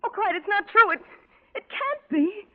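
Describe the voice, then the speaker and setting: An actress playing a desperate, lovesick young woman in a 1940s radio drama, with a halting, pleading, melodramatic delivery. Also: extremely high pitch, lively intonation. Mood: heartbroken, tearful. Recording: tinny band-limited old radio recording.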